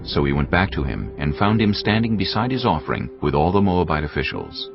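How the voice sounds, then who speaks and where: Deep, creaky male Bible narrator. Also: big pitch swings, fairly quick. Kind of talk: scripted dramatised audio Bible narration. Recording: dull audio under loud music.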